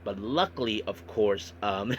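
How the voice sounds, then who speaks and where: Deep-voiced man recapping, noisy recording. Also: a little slow. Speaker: wrestling podcast host. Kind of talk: recapping and commenting on events in a podcast monologue.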